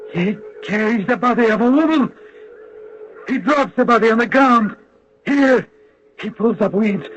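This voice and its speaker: High-voiced, slow, theatrical psychic seer. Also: male voice, fairly bright tone, full character voice. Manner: dramatic, incantatory, halting repetitions. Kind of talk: 1970s-80s radio drama acting. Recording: muffled old radio recording, faint noise.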